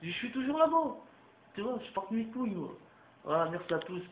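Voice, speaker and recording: A young man rants straight at his audience in a heated, repetitive way, full of crude slang. His voice sits a bit high for a man. The recording sounds roomy and muffled, with a faint hiss behind it. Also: very animated, a little fast. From France. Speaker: vlogger. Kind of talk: ranting monologue to camera.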